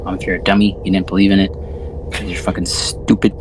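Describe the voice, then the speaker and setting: A man's voice: low voice, little pitch movement, quick pace, noisy background. A man chatting casually about films as a podcast host, with a slangy, sweary, rambling way of talking. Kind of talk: casual film discussion chat.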